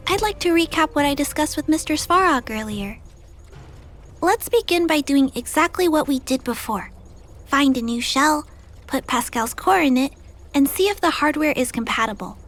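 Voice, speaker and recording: A woman playing a gentle, earnest little girl in a video game, speaking politely and carefully. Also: high voice, expressive, moderate pace. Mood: earnest, thoughtful. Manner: polite, soft-hearted, careful.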